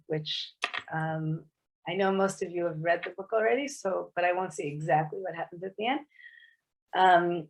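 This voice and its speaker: A woman's voice: fairly low pitch, rough recording. A woman author speaking to a book club over a video call, thoughtful and hesitant, often restarting her sentences. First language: English. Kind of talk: casual explanatory talk on a video meeting.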